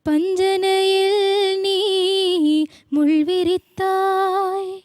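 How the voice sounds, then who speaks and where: A woman's voice: very high voice, steady tone, drawn-out words, dry recording, studio quality. A young woman singing Tamil film songs as a talent show contestant, with music behind her. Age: young adult. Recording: loud music backing.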